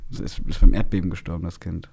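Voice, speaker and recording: A young male podcast host with a voice a little on the low side. He talks casually and haltingly, rambling along and leaning on youth slang. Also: flat delivery, vocal fry, warm tone, husky.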